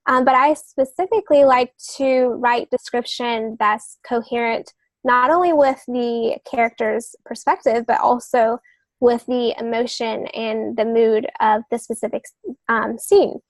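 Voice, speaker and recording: A woman's voice: fairly high pitch, steady tone, measured pace, no room sound. A woman speaking as a guest novelist on a podcast, explaining her methods somewhat hesitantly and often rephrasing herself.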